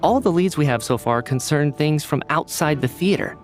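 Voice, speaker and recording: A man's voice: on the high side, animated, moderate pace. A man playing a curious, analytical young scientist in a video game, speaking articulately. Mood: pondering. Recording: background music.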